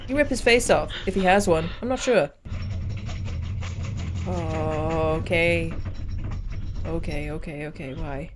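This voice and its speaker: Woman doing a reaction vid, kinda low voice, jokey n slangy, casual chat. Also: takes it slowly, pauses often, noisy background. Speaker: reaction video host.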